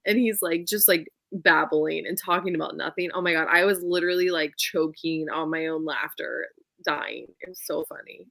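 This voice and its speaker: A woman with a slightly low voice talks books in a casual, expressive way. She is informal and emphatic, and the sound is dry, with very little room to it. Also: normal speed, gravelly, no room sound. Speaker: book show co-host. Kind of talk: casual book chat.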